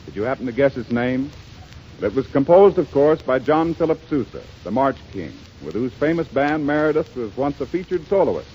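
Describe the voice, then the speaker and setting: A man's voice: mid-range voice, lively intonation, moderate pace, warm tone, slight background noise. A man reading a formal, polished scripted announcement in 1930s radio style. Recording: muffled 1930s radio broadcast with music.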